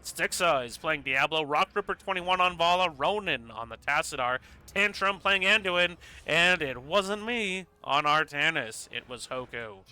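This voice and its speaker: A male caster calls a live esports match in fast play-by-play, his voice sitting a touch high and sounding very bright and keen. He is congested, with a stuffy nose, and his energy climbs into excited bursts when the action heats up. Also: smooth. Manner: energetic, rises to excited bursts during fights.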